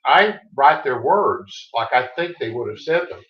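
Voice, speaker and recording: A man speaks with a Texas accent, easy and unhurried as he tells about his book. He circles back and restarts his thoughts as he goes. His voice sits in a roomy space and comes through a dull, lo-fi video call. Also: medium pitch, animated. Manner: easygoing, rambling with restarts. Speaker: author guest. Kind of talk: casual interview chat.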